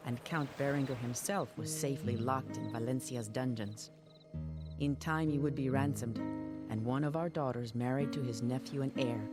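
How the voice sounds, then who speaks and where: A low-pitched medieval noblewoman narrates a game cutscene like a storybook. She is solemn and measured, recounting her knight husband's saga. Loud music plays behind her. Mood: wistful.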